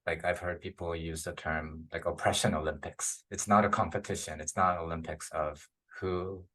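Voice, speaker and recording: Low-voiced man, affirming interviewer. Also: fairly even intonation, normal speed, fairly bright tone. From Philippines. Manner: affirming, often checks in with "right?". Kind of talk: casual reflective interview conversation.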